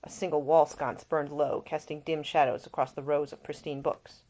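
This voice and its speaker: A woman reading her own fiction aloud as scripted audiobook narration, with an expressive storytelling delivery. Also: deep voice, normal speed. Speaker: author reading own novel.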